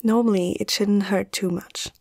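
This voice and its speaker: A young woman playing a caring, slightly awestruck vet in a soft-spoken ASMR roleplay, gentle and hesitant, often slipping into a breathy half-whisper. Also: mid-range voice, steady tone, moderate pace, no echo. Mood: gentle, focused. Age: young adult.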